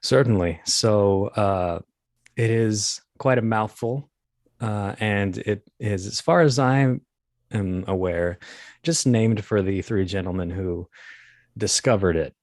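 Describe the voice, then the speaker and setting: Male, early thirties, low-pitched, dryly recorded; casual interview guest with a halting, self-deprecating wit. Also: a little mellow, no echo, clean studio sound. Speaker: guest, voice actor and comedian. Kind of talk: casual interview, anecdotal storytelling.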